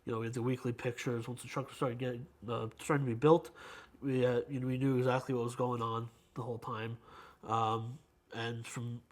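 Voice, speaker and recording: Male interview guest, fire officer type. Average pitch, unpolished everyday phrasing, plain-spoken. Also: little pitch movement, brisk. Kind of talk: casual interview answers. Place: firehouse kitchen.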